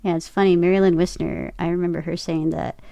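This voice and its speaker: A woman's voice: lowish voice, little pitch movement, fast talker, gravelly, warm tone, no room sound, clean studio sound. A woman talking casually as an interview guest, often retelling things by quoting her own thoughts aloud. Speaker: interview guest, voice actor and podcaster. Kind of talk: casual chat.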